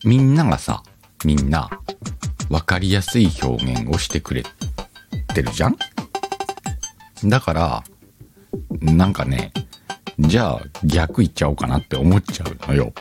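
A man's voice: very deep voice, sing-song, measured pace, long pauses. A man hosting his own audio show, chatting casually and musing aloud to his listeners. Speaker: host of an audio channel. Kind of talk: casual solo radio-style chat. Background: faint music.

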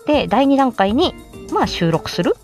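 A woman's voice: high-pitched, sing-song, moderate pace, darkish tone, faint music behind. A woman with an androgynous voice explaining in a casual, chatty live-stream style, as an amateur voice actor giving tips. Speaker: amateur voice actor explaining how to make voice samples.

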